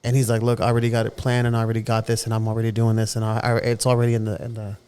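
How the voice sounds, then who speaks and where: A man's voice: lowish voice, monotone, very fast, darkish tone, smooth. Earnest man in his thirties, casual chat. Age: 30s. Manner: earnest, repeats words as he gathers his thoughts. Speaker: podcast co-host.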